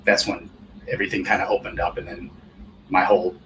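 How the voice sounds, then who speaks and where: A man in his forties who grew up speaking Japanese, telling stories of his past in a relaxed, rambling way as a guest on an interview show. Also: sits low, brisk, a little hiss or hum, poor audio. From California, United States. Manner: relaxed, rambling storyteller with many restarts. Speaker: guest percussionist. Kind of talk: casual interview chat.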